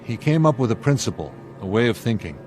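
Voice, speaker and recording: A man acting in a radio drama as a level-headed airline captain, measured and explanatory. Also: medium pitch, big pitch swings, a little mellow, soft background music, natural voice. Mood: calm, reasoning.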